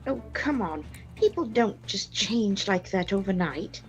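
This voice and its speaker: A woman's voice: medium pitch, animated, a little slow, highs cut off, faint music behind. A woman playing a curious ten-year-old girl, with a questioning manner, in a dramatized storybook reading. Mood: skeptical, curious. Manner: questioning, doubtful.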